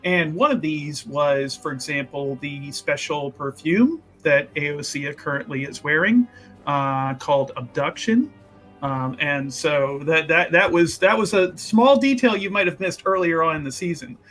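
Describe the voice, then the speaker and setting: Male tabletop player, slightly high voice, improvising story casually with emphatic, repetitive asides, faint music behind. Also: unhurried. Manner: animated, emphatic, rambling and repetitive. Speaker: player in a tabletop roleplaying game.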